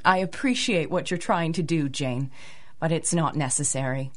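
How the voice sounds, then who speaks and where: Genteel, low-voiced young lady. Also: normal speed. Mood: defensive, evasive. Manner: polite, hesitant. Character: genteel, evasive young lady of a manor house. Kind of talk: 1930s-style radio drama acting.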